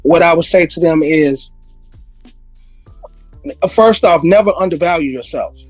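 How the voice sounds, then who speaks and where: A man with a high voice, hoarse because he is losing it, tells long rambling stories as a podcast guest. He repeats himself and laughs a lot. The sound is dry and close, with faint music underneath. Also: a little slow, darkish tone, no echo. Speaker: guest, music industry veteran. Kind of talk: casual interview conversation.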